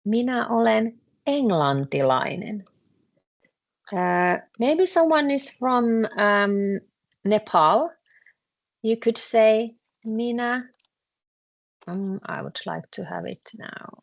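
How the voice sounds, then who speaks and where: A woman with a Finnish accent teaching a language class, explaining patiently with frequent pauses and fillers. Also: fairly high pitch, lively intonation, dull audio. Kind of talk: classroom lesson explaining grammar.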